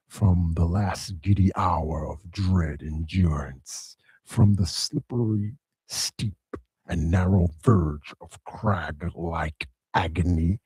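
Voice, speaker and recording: A man's voice: mellow, dry recording, heavily acted. A man voicing Demogorgon, a vast primal power, in a solemn dramatic verse reading. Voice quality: deep, husky, slow and solemn.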